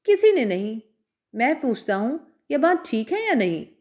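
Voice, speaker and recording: A woman's voice: high voice, big pitch swings, unhurried, darkish tone. A woman playing a wrathful old Brahmin father. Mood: stern. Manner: harsh, scolding.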